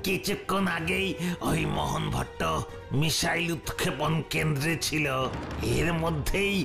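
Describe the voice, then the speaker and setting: Evil cartoon captain, super gravelly n slow, gloating threats, music behind. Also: male voice, fairly high pitch. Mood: gloating, threatening. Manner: theatrical, drawn-out threats with evil cackling. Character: gloating menacing male villain captain, megalomaniac. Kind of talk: cartoon villain voice acting.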